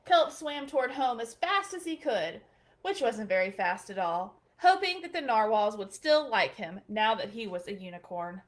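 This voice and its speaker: A woman reading a children's picture book aloud in a gentle, expressive way. Her voice sits high and moves a lot in pitch, like a librarian's bedtime storytime. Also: normal speed.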